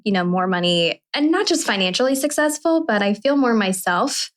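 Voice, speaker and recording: A woman in her early thirties hosting a podcast, talking candidly and at length, with restarts and the odd swear. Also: medium pitch. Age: 30s. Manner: candid, animated, rambling, with swearing. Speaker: podcast host. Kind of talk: casual podcast conversation.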